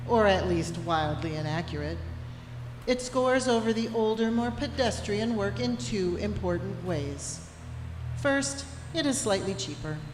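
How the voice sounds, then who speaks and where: A woman playing the unflappable narrator of a science fiction comedy radio play, with a measured, dry storybook delivery. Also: medium pitch, close to an everyday voice. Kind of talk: scripted narration for a live staged radio play. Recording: echoey hall, music under the voice.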